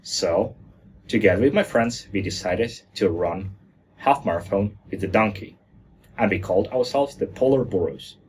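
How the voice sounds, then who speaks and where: A man's voice: on the low side, animated, normal speed, darkish tone, slight background noise. A man from Germany giving a lighthearted presentation talk, joking along and stumbling over fillers now and then. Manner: jokey, lighthearted, with hesitant fillers.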